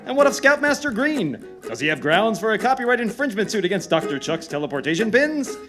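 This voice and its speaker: A man's voice: high-pitched, big pitch swings, quick pace, a bit bright, soft background music. A man playing a grandly dramatic old-time radio announcer, reading scripted narration with theatrical weight. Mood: breathless, dramatic.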